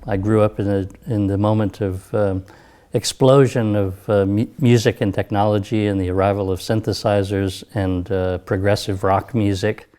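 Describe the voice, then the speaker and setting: A man in his fifties speaks in a fairly low voice with an American accent. He is a composer and professor giving an interview for a documentary. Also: fairly even intonation, moderate pace, dark tone. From United States, Texas. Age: 50s.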